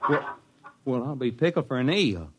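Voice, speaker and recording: Actor as folksy army grunt, radio. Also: male voice, medium pitch, very animated, moderate pace, very noisy, lightly acted. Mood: amazed. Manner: drawling, ungrammatical, bumbling deference to officers. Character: folksy, uneducated army enlisted man, comic and reluctant. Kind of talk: radio drama acting. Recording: vintage radio drama recording.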